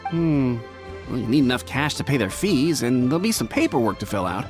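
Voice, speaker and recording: Game casting: practical, helpful adult man voiced by an actor, animated pitch, music behind. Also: fairly quick, lightly acted. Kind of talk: video game voice acting.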